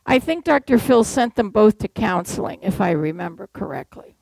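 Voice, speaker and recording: A woman's voice: mid-range voice, animated, normal speed, gravelly, darkish tone, slightly rough, no room sound, rough recording. An older woman from Massachusetts preaching a sermon from a church pulpit, telling stories and asking the congregation rhetorical questions. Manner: conversational storytelling with rhetorical questions.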